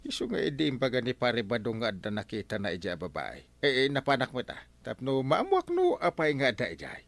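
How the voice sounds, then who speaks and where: A man's voice: medium pitch, huge pitch swings, a little fast, creaky, no echo. A man playing a bumbling, hesitant village captain and argumentative husband in a comedy radio drama. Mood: explaining.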